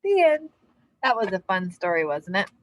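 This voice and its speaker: A woman reading a children's story aloud, expressive and speaking to young listeners as a storyteller. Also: mid-range voice, normal speed. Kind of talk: animated children's read-aloud.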